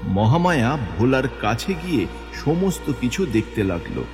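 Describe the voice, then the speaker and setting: A man's voice: mid-range voice, very animated, normal speed. A man narrating a horror story as a dramatic scripted audio tale. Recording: long hall reverb with music underneath.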